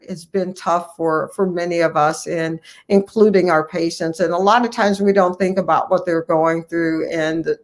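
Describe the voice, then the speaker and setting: An American woman speaks as a physician guest in an interview. Her delivery is conversational but earnest and emphatic, and she repeats key phrases to drive them home. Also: lowish voice. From United States, Ohio. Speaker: physician guest and medical association leader.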